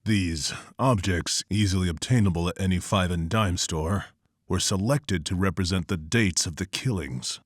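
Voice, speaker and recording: A brooding vigilante detective speaks very low and close, with no room around him. He is terse, serious and measured, in motion comic drama. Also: no echo. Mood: grim. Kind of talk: scripted motion comic acting.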